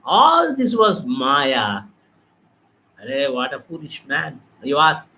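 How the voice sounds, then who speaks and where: A man's voice: medium pitch, sing-song, slow, pushed and bright, a little hiss or hum, voice pushed down, exaggerated voice, low-quality recording. A man voicing the god Krishna, calling out and then scolding firmly. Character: Lord Krishna, divine male god, calm yet commanding.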